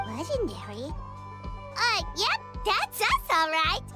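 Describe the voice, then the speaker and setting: Tiny chirpy game sidekick fairy, extremely high, swooping delivery, music underneath. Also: female voice, huge pitch swings, slow pace, full character voice. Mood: sheepish. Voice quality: squeaky, childlike. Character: small chirpy floating fairy companion girl, eager and excitable. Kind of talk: video game voice acting. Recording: music behind the voice.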